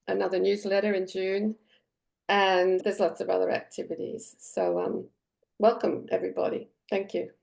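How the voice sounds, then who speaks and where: Australian woman presenter, dark gravelly voice, prepared reading. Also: lowish voice. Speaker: webinar presenter.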